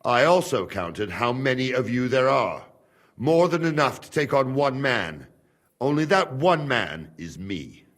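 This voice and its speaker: An actor playing a proud, stubborn male castle guard who talks in a measured, quietly threatening way in a video game cutscene. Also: medium pitch, sing-song. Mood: calm.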